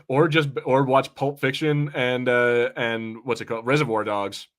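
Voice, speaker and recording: A man's voice: mid-range voice, steady tone, a little slow. A man co-hosting a casual watch party podcast, reading out comments and talking in a rambling, self-correcting, sometimes profane way. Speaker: podcast co-host.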